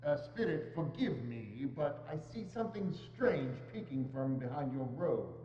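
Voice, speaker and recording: A man's voice: mid-range voice, expressive, unhurried, warm tone, close to an everyday voice. A man playing a frightened, pleading old miser in a stage reading, with hesitant, stammering delivery. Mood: uneasy, wondering. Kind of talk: amateur dramatic reading on stage. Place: large church hall, indoors. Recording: muffled echoey church hall, humming noise.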